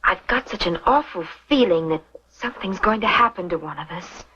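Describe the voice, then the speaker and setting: A woman's voice: fairly low pitch, animated, fairly quick, sharp tone, slightly rough, exaggerated voice. An actress playing a nervous but outspoken young woman in an old western radio drama. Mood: scornful, uneasy. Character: nervous young frontier woman, outspoken about her suitors. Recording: muffled old radio recording.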